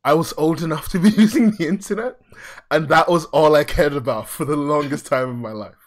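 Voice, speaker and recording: A man's voice: fairly high pitch, a little fast. A male podcast co-host chatting casually, laughing often and swearing freely.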